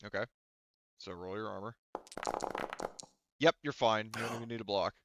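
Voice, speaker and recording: A man's voice: lowish voice, steady tone, normal speed, noisy background. A man acting as game master in casual tabletop play, informally thinking aloud as he works through rules on a slightly dull, dry microphone.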